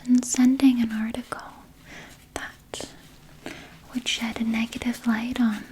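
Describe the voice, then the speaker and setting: Vampire nurse played by a woman: sweetly sinister ASMR whisper, slow and soothing, fairly high, coddling with veiled threat. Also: a little mellow, slight background noise, poor audio. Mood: veiled menace. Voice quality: breathy whisper. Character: vampire nurse, sweetly doting yet quietly menacing. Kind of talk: ASMR roleplay, personal attention.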